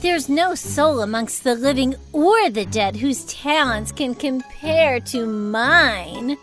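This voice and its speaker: A haughty cartoon diva, queen of the dead, in a very high voice. She takes her time, smug and languid, over clearly audible music. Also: expressive, slow, a bit bright, full character voice. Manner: haughty, languid, self-satisfied. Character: vain, grand diva singing queen of the dead. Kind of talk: animated film voice acting.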